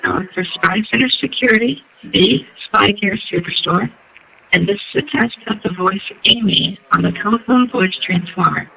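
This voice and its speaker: A man's voice: moderate pace, a crowd in the background. A man speaking through an electronic voice changer set to a synthetic female preset, on a recorded phone call. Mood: neutral test. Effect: electronic voice changer raising pitch. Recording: noisy recorded phone call, creaky processing.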